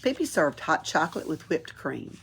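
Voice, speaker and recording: A woman's voice: deep voice, normal speed, creaky, slight background noise. A woman reads a children's story aloud expressively, as a library storyteller. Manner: expressive, chuckles and adds asides. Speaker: library read-aloud reader.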